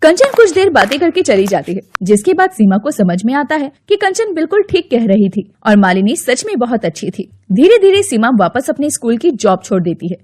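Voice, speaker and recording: A woman narrating a cartoon moral story in an even storytelling manner. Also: fairly high pitch, a little fast, few pauses.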